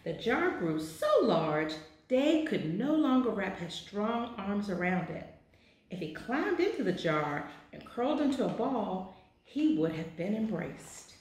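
A woman in her forties reading a children's picture book aloud with deliberate, expressive phrasing in an office. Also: mid-range voice. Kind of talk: children's storybook read-aloud. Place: small indoor office. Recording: very echoey, roomy sound.

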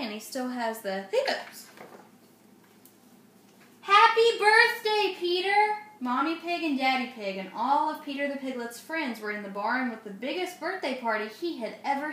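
High-voiced young woman talking to children. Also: lively intonation, moderate pace, few pauses. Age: young adult. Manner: child-directed, asks the listener questions. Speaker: storyteller and craft presenter. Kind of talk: children's read-aloud and simple instruction. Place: indoors, home dining room. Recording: roomy, noisy home phone recording.

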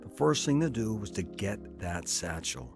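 A man's voice: on the low side, sing-song, moderate pace, creaky, over music. An actor with an American accent playing a wary, hard-bitten man on the run in a radio drama, terse and wry. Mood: matter-of-fact. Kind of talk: radio drama acting and first-person narration.

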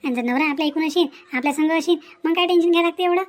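A woman's voice: high voice, racing, close to an everyday voice. A woman voicing an opinionated, sympathetic village aunt in a family cartoon, with a Varhadi Marathi accent and a repetitive, folksy way of talking punctuated by questioning hums. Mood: consoling. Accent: Varhadi Marathi, Vidarbha. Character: consoling, indignant village aunt, fond of the bride. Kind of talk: cartoon family melodrama acting.

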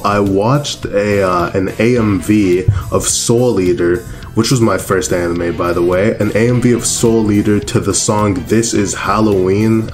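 Teenage boy, deep low voice, chatty and self-mocking, casual vlog talk, faint music underneath. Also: a little mellow. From Canada. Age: teens. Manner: chatty, laughs at himself. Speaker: YouTuber.

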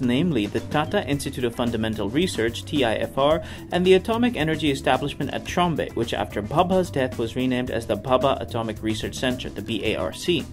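A man presenting a tech history series in scripted narration. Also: medium pitch. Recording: music behind the voice. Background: background music.

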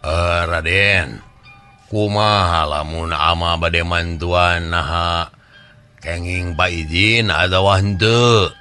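A man's voice: low voice, fairly even intonation, slow pace, sharp tone, clean tone, faint music behind, exaggerated voice. A man voicing an older village chief in a folk tale, deferential and a little hesitant.

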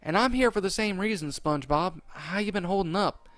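A man's voice: high-pitched, fairly quick, fairly bright tone, no room sound, pitched above the performer's own voice. A man voicing an upbeat young female squirrel scientist with a Southern US country accent. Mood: encouraging.

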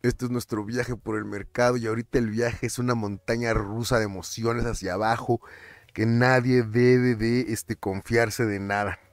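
A young Mexican man with a Mexican accent, talking casually on his finance vlog, animated and often stumbling over and repeating words. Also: mid-range voice, moderate pace, no echo. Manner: animated, chatty, stumbles and repeats words, slips in English terms. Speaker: finance vlogger. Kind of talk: casual commentary over screen captures.

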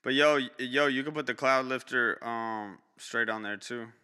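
A young man on a live stream gives blunt, slangy feedback, his voice bright and crisp with a smooth clarity. He talks into a close studio mic in a small home room, with some long reverb on the voice and faint music underneath. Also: normal speed. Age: young adult. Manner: blunt, slangy, swears, jumps high in pitch when reacting. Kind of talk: casual live-stream critique. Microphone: studio mic close up, headphones on.

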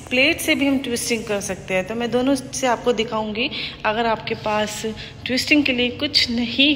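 A woman's voice: fairly high pitch, fairly bright tone. A woman in her thirties filming a casual workout vlog on her phone in an empty hotel gym, explaining exercises in a chatty, instructive way and mixing English words into her talk. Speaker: fitness vlogger. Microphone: phone camera, handheld and propped. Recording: echoey gym hall with noise.

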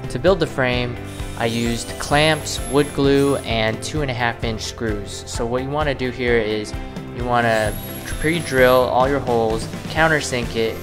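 A man's voice: medium pitch, a little slow. Casual DIY man with music behind. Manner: relaxed, explanatory, frequent "uh" fillers. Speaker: DIY channel host. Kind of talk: casual how-to narration. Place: small home laundry room, then voice-over over garage workshop footage. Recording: voice over clear background music. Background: background music.

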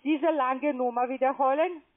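High-voiced woman on a tinny phone line. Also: steady tone. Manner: slow, halting, broken grammar, repeats numbers back. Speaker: phone scam caller posing as bank agent. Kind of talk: recorded phone call.

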